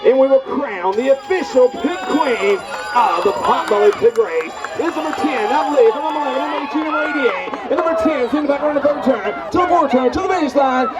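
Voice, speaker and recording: A man announcing a race in a rapid-fire commentary style, heard over a PA outdoors. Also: extremely high pitch, expressive. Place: outdoors at a farm festival. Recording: distant PA amid loud outdoor crowd.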